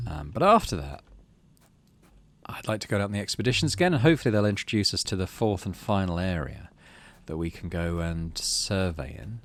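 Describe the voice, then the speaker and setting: A man's voice: sits low, creaky. A man hosting a game let's play, narrating story text and musing aloud in casual, understated commentary. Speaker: gaming channel host.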